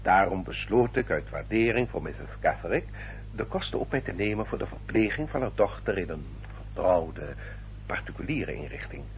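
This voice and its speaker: An actor playing a courteous, self-justifying English baronet in a radio drama, with a formal, polished and elaborately polite manner. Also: male voice, sits low, lively intonation, moderate pace. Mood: smoothly self-justifying. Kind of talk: old radio drama acting. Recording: dull old radio recording, faint hum.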